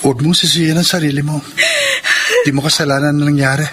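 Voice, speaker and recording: An actor playing a supportive, concerned young friend, earnest and pleading, in a radio melodrama. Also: male voice, on the high side, fairly even intonation, quick pace, fairly bright tone, close to an everyday voice. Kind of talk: Filipino radio melodrama acting. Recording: noisy radio drama track.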